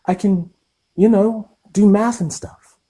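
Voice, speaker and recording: A man's voice: high-pitched, fairly even intonation, slow pace, dark tone, pitched above the performer's own voice. A man voicing a timid, curious eleven-year-old boy who asks hesitant, stammering questions in an audiobook. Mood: puzzled. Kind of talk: audiobook character voice.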